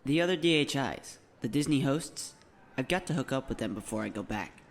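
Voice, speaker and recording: A man's voice: medium pitch, animated, brisk, slight background noise, lightly acted. A man playing an earnest, anxious schoolboy hero in an audiobook drama. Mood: determined.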